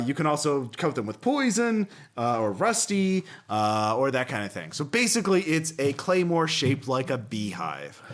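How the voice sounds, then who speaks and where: Man casually hosting a tabletop podcast. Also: fairly high pitch. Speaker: podcast host. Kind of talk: casual chat, reading game text aloud with commentary.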